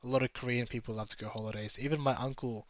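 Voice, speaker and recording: A man chatting his way along a drive, thinking out loud and wandering between topics. His voice sits mid-range, recorded dry and close inside a car, with a slightly dull, muffled edge. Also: medium pitch, steady tone, quick pace, no echo. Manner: chatty, musing aloud, easily sidetracked. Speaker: travel vlogger. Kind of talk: casual running commentary from a car.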